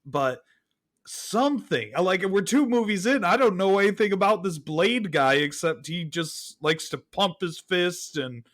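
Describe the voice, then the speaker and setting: A man's voice: sits high, lively intonation, measured pace, fairly bright tone. A man speaking as a podcast guest in a casual film review chat, candid and conversational with rhetorical asides.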